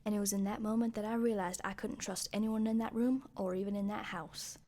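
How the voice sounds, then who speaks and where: A woman playing a wry, suspicious young journalist who narrates an amateur radio mystery in a deliberate, dry way. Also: mid-range voice, steady tone, mellow, lightly acted. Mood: wry, brooding. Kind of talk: scripted first-person mystery narration. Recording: faintly noisy home recording.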